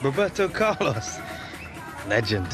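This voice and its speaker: An actor playing a laid-back, ambitious young footballer in a video game cutscene. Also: male voice, medium pitch, big pitch swings, slow pace. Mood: dreamy, chatty. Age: young adult. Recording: loud music bed behind voice.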